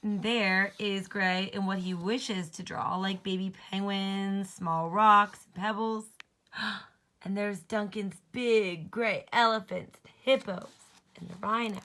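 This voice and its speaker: A woman's voice: mid-range voice, steady tone, takes it slowly, a bit bright. A woman teacher doing a children's read-aloud, talking expressively to young listeners and prompting them.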